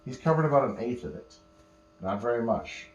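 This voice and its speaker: A man telling an improvised bedtime story to children, patiently explaining words and pausing mid-phrase as he goes. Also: mid-range voice, expressive, lo-fi sound. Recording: roomy echo, faint electrical hum.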